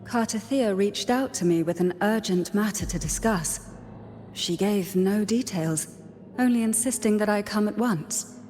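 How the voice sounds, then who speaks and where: A woman voicing a composed, solemn noblewoman in a video game, measured and formal. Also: mid-range voice, a little mellow, close to an everyday voice. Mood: grave. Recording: hall reverb, faint music behind.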